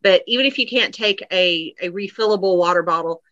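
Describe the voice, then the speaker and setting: Bright tense voice, mid pitch, chatty step-by-step advice, dull lo-fi sound. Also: a little fast, no echo. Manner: chatty, explains step by step with many ums. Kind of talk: casual advice chat. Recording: dull lo-fi audio.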